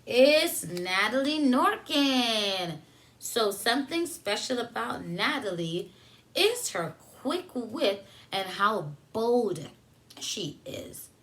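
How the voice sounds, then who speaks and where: A woman preschool teacher reading a picture book aloud to young children, pausing for simple explanations and questions addressed to them. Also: on the high side, sing-song, a bit bright.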